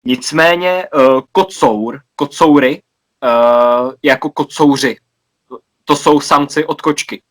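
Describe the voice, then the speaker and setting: A man's voice: medium pitch, takes it slowly. A man from Czechia in a casual video chat, patiently repeating and explaining words. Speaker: language exchange participant.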